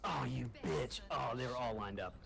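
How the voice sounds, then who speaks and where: A man's voice: mid-range voice, moderate pace, creaky. An American man chatting casually while playing a video game online. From United States. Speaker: online gamer. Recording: loud game gunfire and noise behind. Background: video game gunfire and effects.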